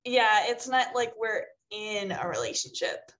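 A woman's voice: medium pitch, unhurried, a bit bright, natural voice. A woman playing a blunt, independent friend in a sitcom table read. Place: indoor room, on a video call. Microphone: video call microphone.

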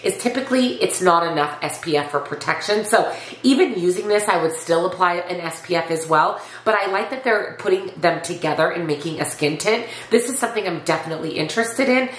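A woman in her forties with a cold, sounding raspy and nasal, chatting through a makeup review at home and reading product copy aloud. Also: on the low side, lively intonation, brisk, roomy sound, slight background noise. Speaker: beauty YouTuber. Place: home beauty room with shelves, indoors.

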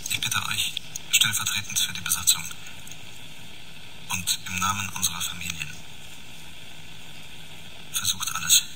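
Grave, very deep-voiced spaceship commander. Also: male voice, steady tone. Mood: grave, resigned. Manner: measured, solemn log report. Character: grave, weary male spaceship commander recording a last message. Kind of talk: German sci-fi radio drama acting. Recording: noisy, poor spaceship radio transmission.

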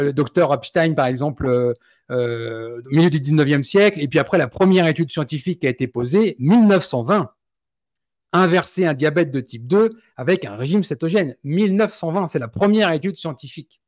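A man's voice: fairly high pitch, animated, fairly quick, darkish tone. A man in his forties with a France French accent, hosting an interview and speaking outspokenly and with indignation into a studio mic. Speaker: host. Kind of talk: interview, passionate opinion. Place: small indoor room. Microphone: studio mic on stand.

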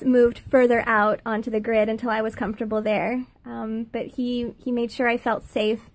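A woman in her thirties with a high-pitched voice, recording a personal video message, earnest and halting with many pauses. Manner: earnest, halting, many fillers, laughs between phrases. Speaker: former student giving a thank-you testimonial.

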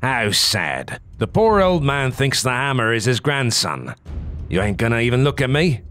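Menacing cartoon gunman, played by a man: medium-pitched, sneering, taunting, animated intonation. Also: unhurried, gravelly, soft background music, full character voice. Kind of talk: animated comedy dialogue.

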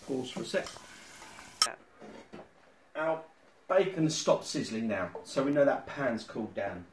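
A man's voice: mid-range voice, lively intonation, a little slow. A middle-aged man giving step-by-step cooking instructions in his home kitchen, filmed by a phone camera across the room. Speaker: home cook. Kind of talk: cooking demonstration. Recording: roomy kitchen sound from a distance. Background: sizzling pan and boiling water.

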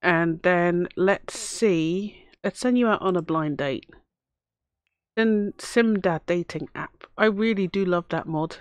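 A woman with a hoarse voice from a cough, chatting casually as she plays a life-sim game and talks to her characters. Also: lowish voice, takes it slowly, dry recording, clean studio sound. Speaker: let's play host. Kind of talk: casual gameplay commentary.

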